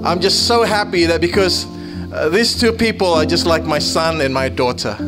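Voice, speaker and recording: A man's voice: on the high side, normal speed. A man giving a wedding sermon as a pastor. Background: music.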